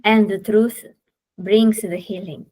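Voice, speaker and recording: A woman from Romania with a Romanian accent, hosting a podcast interview and talking slowly and thoughtfully, with many "uh" fillers. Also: medium pitch, fairly even intonation, warm tone. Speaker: podcast host. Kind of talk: casual interview chat.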